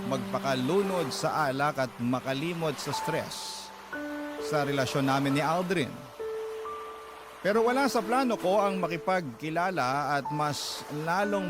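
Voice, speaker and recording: A man reading a listener's letter aloud in an earnest, confessional first-person narration for a radio drama. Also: on the high side.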